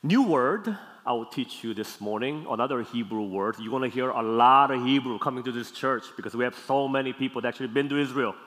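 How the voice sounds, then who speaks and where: A man, a native Korean speaker with a Korean accent, delivers a teaching sermon as a pastor, phrasing deliberately, repeating key words and checking in with questions. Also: medium pitch, fairly bright tone. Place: large hall, speaking to a congregation. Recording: long hall reverb.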